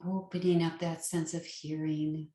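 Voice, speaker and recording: Slow-speaking woman guiding meditation, roomy sound. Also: deep voice, little pitch movement. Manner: soothing, unhurried, gentle instruction with long pauses. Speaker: meditation guide, yoga nidra teacher. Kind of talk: guided meditation.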